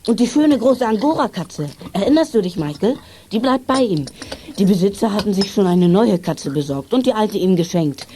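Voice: medium pitch, lively intonation, moderate pace, dark tone, a little hiss or hum, lightly acted. A performer playing a wry, teasing youngster in a children's radio play, with a dry way of talking. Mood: pleased. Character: wry, teasing youngster, mocking the grown-ups.

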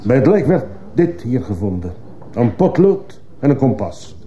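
A very dark-voiced, stern judge. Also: male voice, mid-range voice, very animated, unhurried. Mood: stern, probing. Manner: insistent, clipped questioning. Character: stern, probing male judge questioning in court. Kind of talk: radio drama acting. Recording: muffled, hissy old radio play recording.

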